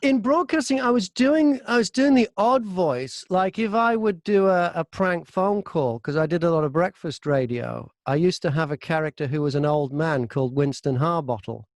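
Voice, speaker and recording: A middle-aged British man with a fairly high voice talks casually in an interview. He is a chatty, self-deprecating storyteller with the ease of a radio presenter. The sound is dry, with very little room in it. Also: moderate pace, hardly any pauses, no room sound. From Britain. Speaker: voice-over artist and former radio presenter being interviewed. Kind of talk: casual anecdotal interview chat.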